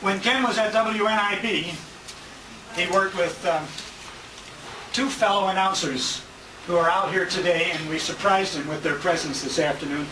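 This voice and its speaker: An older American man speaks as emcee at a banquet tribute, in a voice pitched high for a man. The sound comes from a handheld camera far from him, with strong room echo and clear background noise. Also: normal speed. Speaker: emcee and radio show host. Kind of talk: live tribute speech at a dinner. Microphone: distant handheld compact camera.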